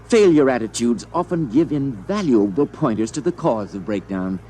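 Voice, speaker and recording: A man's voice: mid-range voice, lively intonation, moderate pace, mellow, close to an everyday voice, poor audio. A man playing a mild, self-important robot psychiatrist with a patronizing professional manner in a 1950s radio drama. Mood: soothing. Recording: vintage radio broadcast, faint noise.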